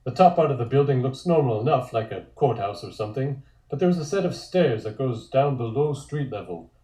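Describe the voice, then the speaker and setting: A man's voice: mid-range voice, expressive, fairly quick, mellow. A man reading a comic script aloud as narrator. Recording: echoey room.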